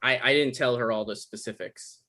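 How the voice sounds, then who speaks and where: A man acting an evasive, awkward novelist in a comedy-drama table read, halting and defensive, with stammers. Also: medium pitch, bright, forceful tone, close to an everyday voice. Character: Michael, evasive, awkward novelist visiting his ex.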